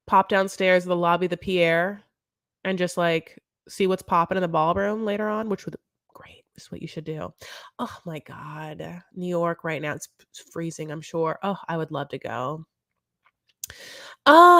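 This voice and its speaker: A woman's voice: lowish voice, fairly bright tone, no room sound. Chatty, campy woman, dry close mic. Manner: chatty, campy, rambling asides. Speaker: show recap host. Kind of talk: casual live recap chat.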